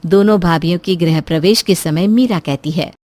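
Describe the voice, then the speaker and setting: A woman's voice: fairly low pitch, moderate pace, a little mellow, dry recording. Woman narrating a story, dry recording. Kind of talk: scripted Hindi story narration.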